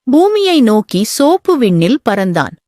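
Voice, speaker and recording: Slow, lively woman storyteller. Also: fairly high pitch, very noisy. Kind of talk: children's bedtime story narration.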